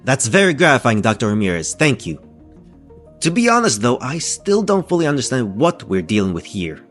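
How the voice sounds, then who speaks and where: Guy playing polite keen physicist in an audio drama, formal but really animated, bit of music under. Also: medium pitch, a little fast. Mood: curious, eager. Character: eager, courteous male physics scientist newly arrived. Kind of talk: scripted audio drama dialogue.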